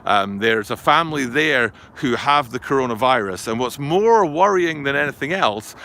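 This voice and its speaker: A man's voice: medium pitch, lively intonation, normal speed, sharp tone. A man filming a live outdoor vlog, talking in a rambling, chatty way and often correcting himself. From Scotland. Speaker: vlogger. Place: outdoors by a river and highway in a city. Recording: faint outdoor street noise. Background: traffic and city ambience.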